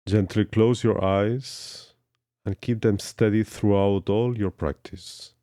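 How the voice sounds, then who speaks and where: Very deep, slow male meditation guide. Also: steady tone, dark tone, no room sound, studio quality. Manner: slow, soothing instructions with long pauses. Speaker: yoga instructor. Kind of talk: guided yoga nidra meditation.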